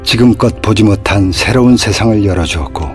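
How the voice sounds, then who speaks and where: A man narrates a commercial in a solemn, scripted way, with slow and weighty phrasing. His voice sits fairly low. Clear music plays behind him. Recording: voice-over with music bed.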